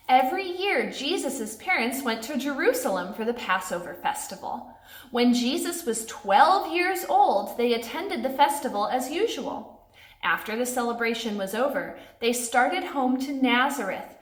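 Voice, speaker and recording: A woman doing a read-aloud for young children, speaking in simple phrases aimed straight at little listeners. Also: sits high. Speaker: church pastor reading a children's Bible story aloud. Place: indoors in a classroom. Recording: echoey classroom.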